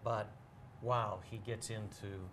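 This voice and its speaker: A man in a casual interview, talking as a bookseller guest in a measured, explanatory way and pausing to choose his words. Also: on the low side, flat delivery, a bit bright, slight background noise.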